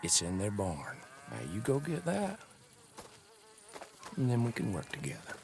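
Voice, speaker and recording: An actor playing a wary, crafty old American backwoods dealer in stolen goods, folksy and grudging, for a Western video game. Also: male voice, on the low side, wildly swooping pitch, measured pace, creaky, noisy background, heavily acted. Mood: sly, bargaining. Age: older. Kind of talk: cinematic video game acting.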